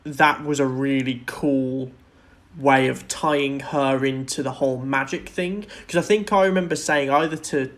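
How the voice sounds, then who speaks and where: Male podcast co-host, mid-pitched, casual and enthusiastic discussion, loosely phrased. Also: fairly even intonation, unhurried.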